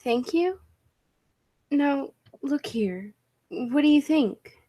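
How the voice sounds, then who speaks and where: Woman playing an assured, slow-talking lady inventor. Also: high-pitched, animated, dark tone, full character voice. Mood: proud, earnest. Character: confident eccentric woman professor, inventor of a time machine. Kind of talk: 1940s radio play acting.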